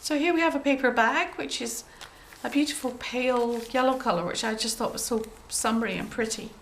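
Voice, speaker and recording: Woman, croaky from a cold, gentle and chatty, lots of ums, slightly high pitch, faint background noise. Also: brisk, rough recording. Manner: gentle, chatty, with frequent ums and throat clearing. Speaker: craft journal maker showing her work. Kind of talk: casual show-and-tell.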